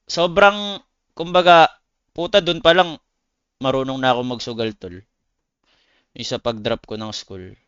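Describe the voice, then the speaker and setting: A man's voice: medium pitch, measured pace, long pauses, a bit bright, smooth, dry recording, muffled recording. A man with a Filipino accent chatting casually to viewers on a livestream, talking in blunt, slangy, swearing style. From Philippines. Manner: slangy, blunt, swearing, addresses listeners as buddies. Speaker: game streamer; competitive esports player.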